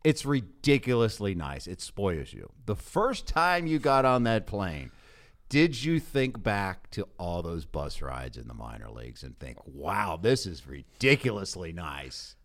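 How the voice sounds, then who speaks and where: An American man hosting a sports podcast in a casual, animated, conversational way. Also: low voice, a little slow. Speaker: podcast host, sports broadcaster. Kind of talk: casual podcast interview chat.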